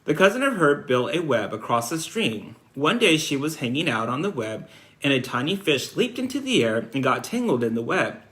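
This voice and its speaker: A man reading aloud as an earnest, imaginative little farm girl in a storybook, insistent and excited as a storyteller. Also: on the high side, moderate pace. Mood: eager, insistent.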